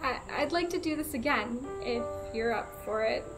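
Woman acting as shy, high-voiced young woman. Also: normal speed, music in the background, close to an everyday voice, rough recording. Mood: shyly happy. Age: young adult. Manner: hesitant, friendly, giggly. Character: keen, shy young woman ending a first date. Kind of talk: improvised soap-opera acting.

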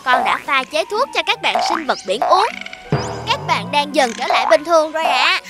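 A woman's voice: high voice, sing-song, normal speed. A woman voicing a brave, clever little girl in a cartoon, eager and earnest in a childlike way. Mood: cheerful. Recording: loud music under the voice.

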